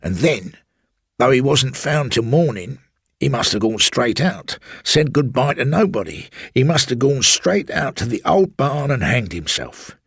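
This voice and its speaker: A man's voice: medium pitch, sing-song, measured pace, breathy edge, no room sound, clean studio sound, voice pushed up, full character voice. A man voicing a garrulous old railway porter who rambles confidingly and keeps addressing his listener as sir. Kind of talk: audiobook storytelling.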